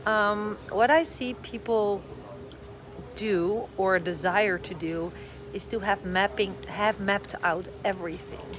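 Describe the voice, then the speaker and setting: A woman's voice: medium pitch, takes it slowly, crowd noise. A middle-aged woman from the Netherlands with a Dutch accent, chatting on a casual live stream, a little short on sleep and a bit scattered. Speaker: vlogger giving advice. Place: airport departure area. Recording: noisy airport live stream. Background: airport ambience.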